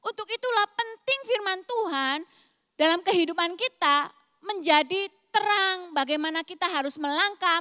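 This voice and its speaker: A woman in her forties preaches with a thick East Javanese accent. Her voice is high and forceful, with an explosive, emphatic delivery. It rings through a reverberant hall as she addresses the congregation. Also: clean studio sound. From East Java, Indonesia. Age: 40s. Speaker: pastor. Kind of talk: sermon.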